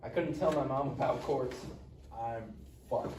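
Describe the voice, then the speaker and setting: Man acting as wry tough teen boy, deadpan, medium pitch, off-mic, echoey live table read. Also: measured pace, close to an everyday voice. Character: wry, tough-talking Mexican-American teenage boy. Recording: echoey room, distant mic, noisy.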